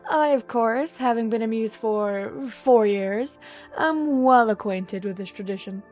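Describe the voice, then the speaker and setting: A woman's voice: a little high, measured pace, darkish tone, soft background music, natural voice. A woman playing a bored, sarcastic troublemaker muse. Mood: smug. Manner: sarcastic, whiny, mischievous. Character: bored rebellious young woman muse, chaos-loving troublemaker. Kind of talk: ASMR audio drama roleplay.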